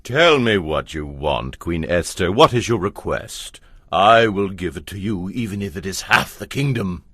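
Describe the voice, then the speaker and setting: A man's voice: deep voice, animated, unhurried, bright, forceful tone, dry recording, studio quality, heavily acted. An actor playing a powerful, imperious Persian king in a dramatized audio Bible, speaking in a theatrical, declamatory way. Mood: grand, generous.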